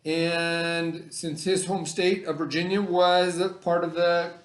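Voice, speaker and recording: Guy teacher talking to little kids, kinda high voice, lots of check-in questions, roomy echo. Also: little pitch movement, takes it slowly. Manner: conversational, checks understanding with questions and repetition. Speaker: elementary school teacher. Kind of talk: read-aloud lesson for children, casual explaining. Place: indoor room, remote video lesson. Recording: echoey room.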